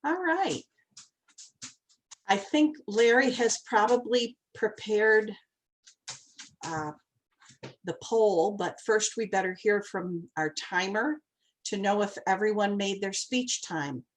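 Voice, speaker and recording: A woman's voice: medium pitch, slow, pauses often, slight background noise. A woman hosting an online club meeting over a video call, friendly and orderly as she introduces each speaker. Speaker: meeting host.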